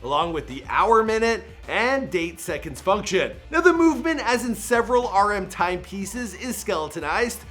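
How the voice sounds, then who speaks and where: Brief: a high, bright male host with a Toronto accent, jokey and slangy, with faint music underneath. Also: sing-song, normal speed, few pauses. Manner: jokey, slangy, punchy delivery. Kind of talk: energetic countdown commentary.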